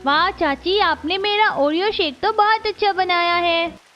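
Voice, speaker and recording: A woman's voice: very high-pitched, unhurried, sharp tone, full character voice. A woman voicing a sweet, eager little boy in a cartoon. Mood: delighted. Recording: dull lo-fi track with music.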